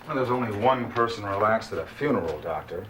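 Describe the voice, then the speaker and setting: A man's voice: on the low side, animated, brisk, noisy background, close to an everyday voice. An actor playing a worried middle-aged father who is a heart patient, wry and persistent, in naturalistic drama dialogue. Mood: wry, insistent.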